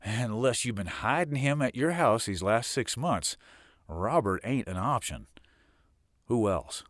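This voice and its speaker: An actor in an audio drama playing a guarded commanding boss with a terse, authoritative manner. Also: male voice, medium pitch, lively intonation, measured pace, a bit bright, no room sound, clean studio sound. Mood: dismissive.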